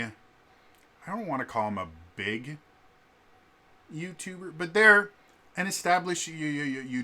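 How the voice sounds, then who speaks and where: Guy ranting on his vlog, loud voice, keeps stumbling on word starts, lots of ums. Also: medium pitch. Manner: repeats word starts, stammering, many fillers. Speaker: vlogger. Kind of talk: ranting casual vlog monologue.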